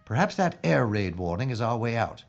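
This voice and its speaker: Male actor as composed British wartime inspector, audio drama. Dry, formal wit with animated inflection, slightly dull sound. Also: mid-range voice, vocal fry, soft background music. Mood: wry, calculating. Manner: formal, droll, measured. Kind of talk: period audio drama acting. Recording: muffled, with noticeable background ambience.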